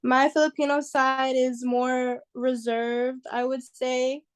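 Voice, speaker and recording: A woman's voice: high voice, level tone, slow, clear, resonant tone. A young woman from New York, a singer, talking casually and reflectively as an interview guest.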